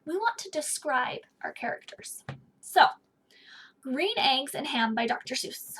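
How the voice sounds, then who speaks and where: A high-voiced woman teaches young children from a picture book. She speaks slowly, in simple explanatory phrases, as a teacher reading aloud. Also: big pitch swings, a bit bright, a little hiss or hum. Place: small indoor office or study.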